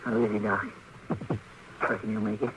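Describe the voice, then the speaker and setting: A man's voice: lowish voice, little pitch movement, moderate pace, lightly acted. A man with a rural American drawl acting an earnest, folksy, loyal deputy in a 1950s Western radio drama, on a noisy old recording. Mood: shaken.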